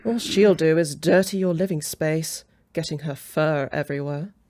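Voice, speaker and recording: A woman's voice: low voice, normal speed, darkish tone, close to an everyday voice. A woman playing a haughty, possessive aristocratic female vampire in a roleplay audio drama, flirtatious and mocking, with a condescending drawl. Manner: drawling, taunting, chuckling condescension.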